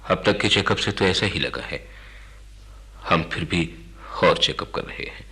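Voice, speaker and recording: An actor playing a worried, dutiful grown son and husband in a radio drama, speaking earnestly and deliberately. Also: male voice, low-pitched, expressive, pushed and bright, slightly rough, full character voice. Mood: grave. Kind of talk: Hindi radio drama acting.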